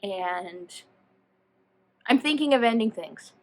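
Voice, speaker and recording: A woman's voice: on the high side, expressive, takes it slowly. A woman chatting casually to viewers as a book vlogger, rambling and self-deprecating as she goes off on tangents. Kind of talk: casual chatty video talk.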